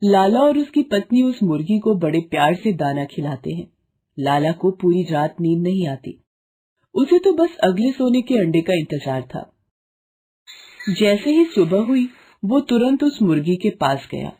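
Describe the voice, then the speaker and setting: A woman's voice: on the low side, sing-song, unhurried, dark tone, husky. A woman storyteller giving scripted narration for a children's moral tale.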